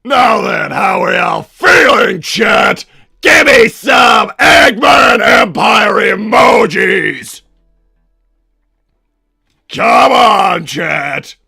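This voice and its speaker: Gravelly, high-strung cartoon villain. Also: male voice, lively intonation, very slow, pushed and bright, no room sound, shouting, studio quality, faint music behind, full character voice. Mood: excited, blustering. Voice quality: gravelly, raspy. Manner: blustering, bombastic. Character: pompous, hot-tempered cartoon villain doctor, doting strict papa. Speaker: livestream host. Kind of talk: in-character livestream chat.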